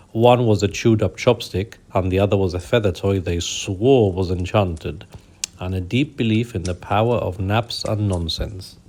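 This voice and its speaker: A man hosting a children's bedtime story show, narrating in a gentle, soothing, unhurried way. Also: lowish voice.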